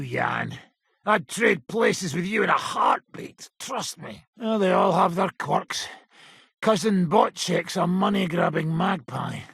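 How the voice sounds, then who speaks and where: An actor playing a gruff, battle-worn old robber baron in a video game, raspy, blunt, coarse and sardonic. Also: male voice, on the high side, a bit bright, dry recording, clean studio sound, heavily acted. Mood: weary, bitter. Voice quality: gravelly, very raspy. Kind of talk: video game character acting.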